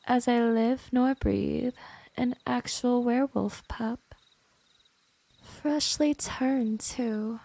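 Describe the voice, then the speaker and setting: A woman plays a smug, seductive female vampire in an intimate ASMR roleplay. Her voice sits fairly high yet soft and dark, with a gravelly fry. She speaks slowly and condescendingly, like a gentle predator in full control. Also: fairly even intonation, dry recording, exaggerated voice. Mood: amused, smug. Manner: slow, condescending, seductive, breathy drops into whisper. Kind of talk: ASMR roleplay, scripted monologue to the listener.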